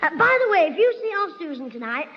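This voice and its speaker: A cheeky, boastful schoolboy with a very high, piping voice. He fires off quick-witted punchlines in 1960s radio-comedy style. The sound is dull and old, with a long hall echo behind it. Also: big pitch swings, exaggerated voice. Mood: scheming.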